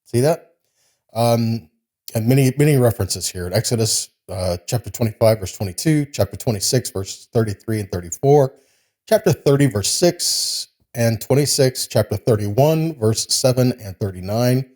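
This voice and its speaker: A man's voice: mid-range voice, animated, moderate pace, a little mellow. A man giving a Bible teaching, reading scripture and explaining it in a didactic way with short check-in questions. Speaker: religious teacher giving a sermon.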